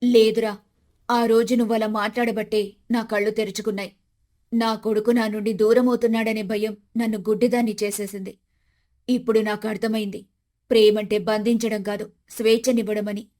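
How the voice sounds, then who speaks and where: A woman's voice: mid-range voice, little pitch movement, normal speed, mellow, close to an everyday voice. Woman voicing a humble, loving older mother-in-law. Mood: reflective, tender. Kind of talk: dramatised moral story dialogue.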